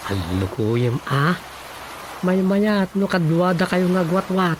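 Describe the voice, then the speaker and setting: A man's voice: high voice, animated, takes it slowly, slight background noise. A man playing an eager, comic young fellow in an Ilocano radio drama, with an Ilocano accent. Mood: coaxing.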